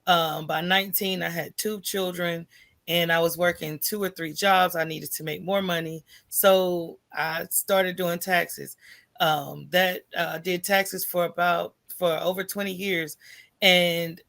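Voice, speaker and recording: Middle-aged woman with a creaky voice. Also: lowish voice, steady tone, unhurried, a bit bright, no echo, clean studio sound. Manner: candid, informal. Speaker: podcast guest, small business owner. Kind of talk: conversational personal storytelling.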